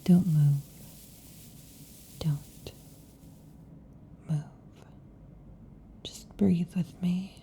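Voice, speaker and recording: A possessive snake-woman lamia, played by a woman whispers intimately into a close mic with a gravelly, low, mellow tone. She teases slowly, coiling around the listener in an ASMR roleplay. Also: steady tone, a little hiss or hum. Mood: teasing whisper. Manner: soft intimate whispering, teasing. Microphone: close sensitive mic.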